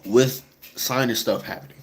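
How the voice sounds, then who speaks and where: A young man talks to his viewers in a loose, rambling way, piling up fillers and slang. His voice sits at a middle pitch and sounds stuffed up and congested. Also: steady tone, moderate pace, rough recording. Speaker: vlogger. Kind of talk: casual chat to viewers.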